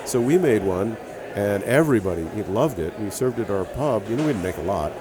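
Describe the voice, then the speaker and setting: Low-voiced man with a Hawaiian accent. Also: lively intonation, a little fast, a crowd in the background. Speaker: brewer and author guest. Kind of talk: casual interview chat.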